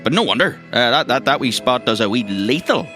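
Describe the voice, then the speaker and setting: An actor playing a chatty, thirsty agency boss in a comedic audio drama, with a Northern Irish accent and a rambling, hesitant, colloquial way of talking. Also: male voice, mid-range voice, expressive, brisk, bright, forceful tone, soft background music. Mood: chatty, eager. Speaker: mission giver briefing recruits.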